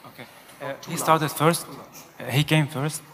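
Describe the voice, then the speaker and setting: A man's voice: fairly high pitch, steady tone, normal speed, a bit bright. A man in the audience asking the speaker a question, hesitant and full of filler sounds. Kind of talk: conference Q&A question. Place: large conference hall. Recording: echoey conference hall.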